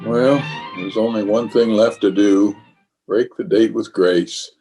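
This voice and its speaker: A man's voice: on the low side, steady tone, takes it slowly, mellow, slightly rough, faint music behind, full character voice. A man playing a flustered, blustering middle-aged bachelor in an old-time radio comedy, hemming and sheepish. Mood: fretful, resigned.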